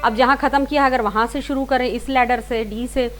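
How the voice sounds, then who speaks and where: A woman's voice: on the high side, steady tone, brisk, soft background music. A woman giving an informal singing lesson, explaining step by step with repeated, rephrased points and English words mixed in. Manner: mixes English words into talk. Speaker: singing teacher. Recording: clear background hiss and noise.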